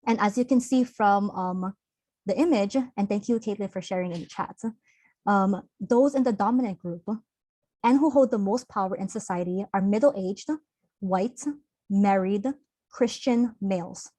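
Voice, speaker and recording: A woman's voice: medium pitch, normal speed, warm tone, dry recording. Young woman, earnest, conversational, video call audio, dry sound. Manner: earnest, open, conversational with fillers. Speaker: workshop presenter. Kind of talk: online workshop talk.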